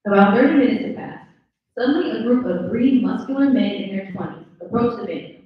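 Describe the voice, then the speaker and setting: A woman's voice: a little high, brisk. A woman narrating stage directions at a live table read, heard from a distance in a dull, echoey room. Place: indoor event room, live stream.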